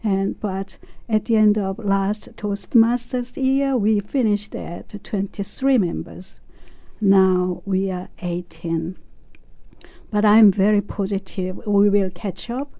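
Slow, halting woman in her sixties. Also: mid-range voice, dark tone. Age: 60s. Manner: slow, halting, many pauses and fillers. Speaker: interview guest, club member. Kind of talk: casual interview chat.

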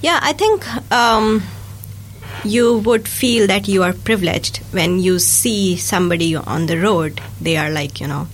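A woman's voice: mid-range voice, unhurried, slight background noise, poor audio. Earnest woman guest on a radio chat. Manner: earnest, laughs easily, many fillers. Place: radio studio.

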